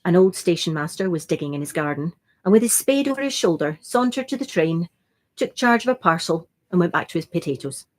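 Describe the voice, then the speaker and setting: A woman's voice: lowish voice, expressive, a little fast, a little mellow. A woman reading a classic adventure novel aloud as a first-person narration. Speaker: reader.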